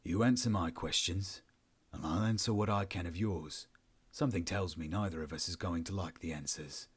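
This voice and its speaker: Man acting a curt, low-voiced military interrogator. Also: normal speed, breathy edge. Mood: cool, controlled. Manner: curt, controlled, unimpressed. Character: cool, commanding male military officer interrogating a prisoner.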